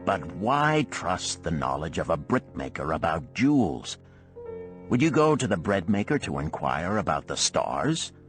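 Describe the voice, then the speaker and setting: A man's voice: low voice, very animated, unhurried, faint music behind. A man voicing a stern, blunt old moneylender mentor who lectures and scolds emphatically in a storybook parable. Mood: exasperated. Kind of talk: audiobook parable character dialogue.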